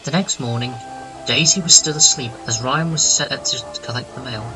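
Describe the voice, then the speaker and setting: A man's voice: mid-range voice, moderate pace, low-quality recording. A man narrating a scripted children's story as part of an amateur audio drama. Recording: loud music under the narration.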